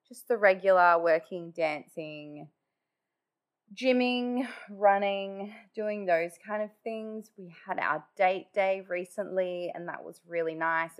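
A woman hosting her own podcast in casual, chatty talk, with a nasally, congested voice after just waking up. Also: medium pitch. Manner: chatty, many "like" fillers. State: just woken up. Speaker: podcast host. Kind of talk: casual solo podcast chat.

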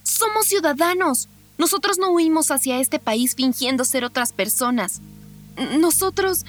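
A woman's voice: high-pitched, expressive, a little slow, soft background music. A woman playing a defensive, indignant married war refugee in an audio drama. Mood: anxious, defiant.

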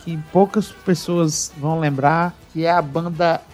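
Man, enthusiastic, casual podcast, slightly high voice. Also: fairly even intonation, a little slow, a little mellow, soft background music. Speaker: podcast co-host. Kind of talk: casual chat.

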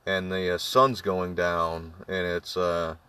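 Deep-voiced man telling a halting story. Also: level tone, bright, forceful tone, clear, resonant tone, dry recording, recorded from a distance. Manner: halting storyteller, many fillers and restarts. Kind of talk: casual ghost story among friends.

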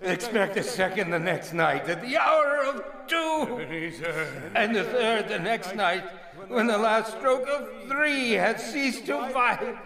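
An actor playing the mournful, ominous ghost of a dead banker in a theatrical radio drama, with wailing, drawn-out, solemn pronouncements. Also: male voice, high-pitched, animated, a crowd in the background. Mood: grave warning. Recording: cavernous long reverb with music behind.

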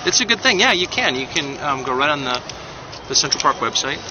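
A man's voice: on the high side, sing-song, brisk. A man from California, talking politely and asking short curious questions in a casual outdoor chat. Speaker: fly fisherman in the park. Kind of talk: casual street conversation. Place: outdoors in a city park. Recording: dull outdoor street recording with city noise.

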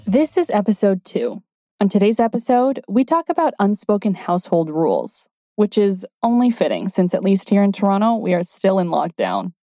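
A woman's voice: mid-range voice, very animated, normal speed, warm tone, no echo, clean studio sound, faint music behind. Woman hosting a casual podcast. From China. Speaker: podcast host. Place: home, Toronto.

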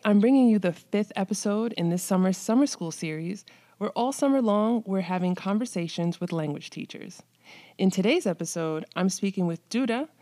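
A woman's voice: mid-range voice, mellow. A woman hosting a language podcast, reading a scripted intro and then interviewing a guest in an upbeat way. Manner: upbeat, asks questions, laughs along with the guest. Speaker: podcast host.

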